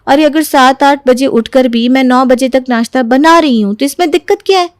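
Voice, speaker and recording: A woman plays a young daughter-in-law in a cartoon moral tale, exasperated and ready to talk back. Her voice is clearly high, with a pert, aggrieved edge. The sound is very dry. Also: normal speed, no room sound, close to an everyday voice. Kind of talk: Hindi animated moral story acting.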